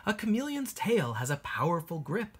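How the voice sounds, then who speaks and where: Husky, low-voiced woman reading to children. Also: very animated, moderate pace. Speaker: teacher reading a children's book aloud. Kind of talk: expressive picture-book read-aloud for young children.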